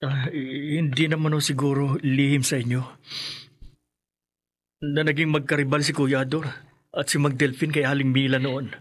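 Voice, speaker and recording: An actor with a Filipino accent playing a frightened, remorseful younger brother, earnest and dramatic in a radio horror play. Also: male voice, mid-range voice, little pitch movement, normal speed, pauses often, natural voice. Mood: grave confession.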